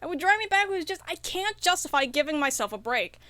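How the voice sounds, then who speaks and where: A woman chatting casually as a podcast guest, expressive and jokingly self-deprecating. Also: high voice, a little fast, no echo. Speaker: podcast guest, indie game developer. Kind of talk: casual chat.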